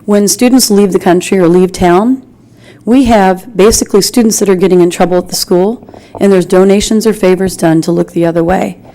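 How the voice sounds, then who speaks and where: An American woman giving an earnest, informal public address in a halting but insistent way, as a community member at a meeting. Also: medium pitch, steady tone, mellow, poor audio. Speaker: community member speaking in public comment at a school board meeting.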